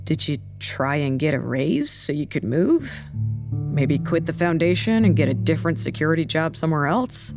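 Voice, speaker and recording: An actor playing a jaded, blunt woman in an audio drama, candid and sardonic, giving halting tough-love advice. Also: low-pitched, sing-song, gravelly, over music, exaggerated voice. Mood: blunt, urging. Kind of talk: naturalistic audio drama dialogue.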